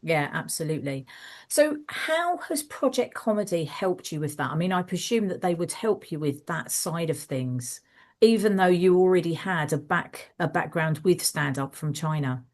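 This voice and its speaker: Low-voiced woman interviewer, supportive. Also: lively intonation, normal speed, a little mellow. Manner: supportive, frequent affirming backchannels. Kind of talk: conversational interview.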